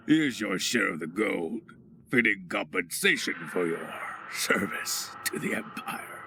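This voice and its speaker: A man's voice: fairly low pitch, big pitch swings, slow pace, pitched below the performer's own voice, heavily acted. A man voicing a cruel, gloating pirate cutthroat for a video game, menacing and mocking. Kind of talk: video game villain acting. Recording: noisy, ambient sound behind.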